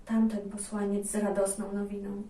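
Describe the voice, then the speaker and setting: A woman co-telling a children's story in a calm, explanatory, conversational way. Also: mid-range voice, normal speed, echoey room, distant mic. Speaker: co-storyteller in a children's tale series.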